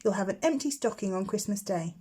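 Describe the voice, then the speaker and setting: A woman's voice: mid-range voice, expressive, fairly quick, mellow, slightly rough. A woman voicing a confident little schoolgirl in a storybook, chatty and proud, with a matter-of-fact know-it-all manner. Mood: explaining.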